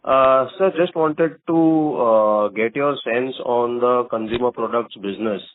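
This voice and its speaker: A man's voice: mid-range voice, measured pace, slight background noise. A man with an Indian accent politely asks questions as an analyst calling into an earnings call. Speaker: analyst caller. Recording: dry narrow phone line.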